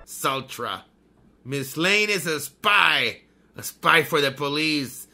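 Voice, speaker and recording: A man playing a slow, sinister mystic villain. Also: fairly high pitch, expressive, creaky, pushed and bright, faint music behind, full character voice. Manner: slow, courtly then threatening. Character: sinister male mystic, smooth and menacing. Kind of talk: 1930s radio drama acting.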